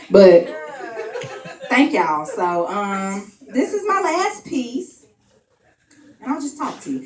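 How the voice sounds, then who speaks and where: A woman in her late twenties, a spotlight poet at an open mic, chatting playfully with the crowd between spoken-word pieces. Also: medium pitch. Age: 20s. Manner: chatty and playful with the audience, laughing between bits. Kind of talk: spoken-word poetry and casual stage chat. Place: event venue room. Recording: echoey room, voice from far off.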